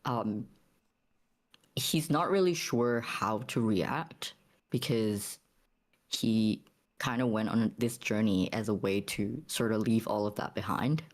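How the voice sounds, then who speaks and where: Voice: medium pitch, slow. A tabletop game player casually describing a character in a hesitant, thoughtful way.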